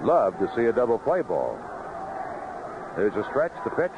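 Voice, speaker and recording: A man calling baseball play-by-play on live radio in clipped phrases, pausing between pitches. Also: huge pitch swings. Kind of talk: live radio sports commentary. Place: outdoor ballpark broadcast booth. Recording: noisy, muffled vintage 1970s radio broadcast.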